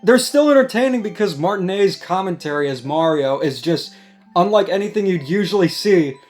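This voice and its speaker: A man with a high voice hosts a casual gaming discussion. He talks conversationally, with music playing faintly behind him. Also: expressive, moderate pace.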